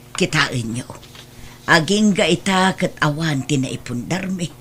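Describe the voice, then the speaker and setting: A woman's voice: mid-range voice, slight background noise. A woman playing a long-suffering, affectionate older mother with a Filipino accent, speaking plaintively in an Ilocano family radio drama.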